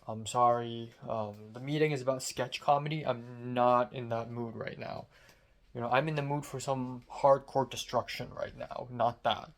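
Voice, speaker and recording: A young man from Hong Kong with a sore, strained throat, talking into the camera late at night. He rants sarcastically in a mid-pitched voice, swearing often and mocking himself. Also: fairly even intonation, normal speed, creaky. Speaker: vlogger. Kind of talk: late-night diary vlog.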